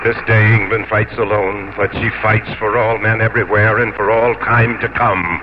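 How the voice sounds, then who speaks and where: Actor as an earnest, deep-voiced English sea captain. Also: male voice, flat delivery, noisy background, natural voice. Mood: solemn narration. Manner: earnest, dramatic, measured delivery. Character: brave, earnest English sea captain telling his story. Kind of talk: old-time radio drama acting and narration. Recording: muffled 1950s radio with loud music.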